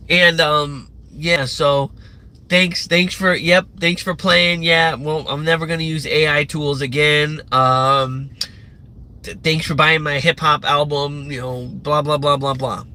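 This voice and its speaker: A man's voice: on the high side, bright, forceful tone. A young man hosting a livestream, ranting loosely with plenty of fillers and swearing. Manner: loose, profane, meandering talk with many fillers. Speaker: livestream host. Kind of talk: rambling live rant.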